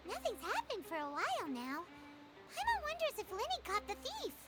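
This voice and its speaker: A woman voicing a small, childlike, excitable fairy companion with a squeaky voice in a video game. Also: moderate pace, full character voice. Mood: curious. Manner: exclaiming, speaks of self in third person. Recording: loud music under the voice. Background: game music.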